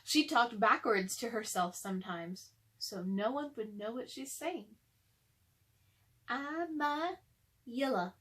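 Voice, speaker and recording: A woman's voice: medium pitch, expressive, a little slow, few pauses, a bit bright. A woman reading a children's story aloud, narrating and speaking directly to young listeners. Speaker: storybook reader.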